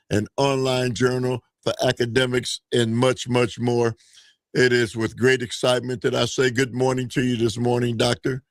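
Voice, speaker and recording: A man hosting a live talk show, interviewing a guest in a deliberate, halting way. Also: medium pitch, gravelly, no room sound. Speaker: radio talk show host.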